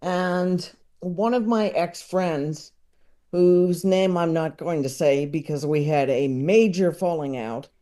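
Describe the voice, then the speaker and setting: A man talking casually as a podcast guest, halting and self-deprecating but enthusiastic, with chuckles slipping into his speech. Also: fairly high pitch, warm tone.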